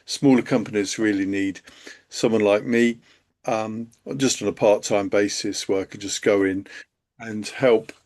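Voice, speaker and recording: A man hosting a live webinar over a video call, chatty and halting, with many fillers. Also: lowish voice, fairly even intonation, a little mellow. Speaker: live stream host, accountant. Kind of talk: casual live webinar talk.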